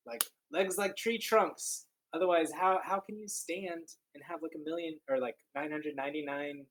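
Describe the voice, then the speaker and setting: A man's voice: a little high, poor audio. Man playfully reading a children's storybook aloud. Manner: playful, expressive, with drawn-out emphasis and joking asides. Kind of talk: children's storybook read-aloud with casual commentary.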